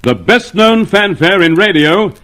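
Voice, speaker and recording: High, animated old-time radio announcer man. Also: unhurried, loud music behind the voice.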